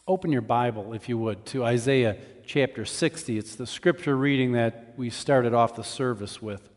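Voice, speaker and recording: Man preaching in a reverberant hall. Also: mid-range voice. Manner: measured, explanatory. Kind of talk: conversational sermon. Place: large church hall.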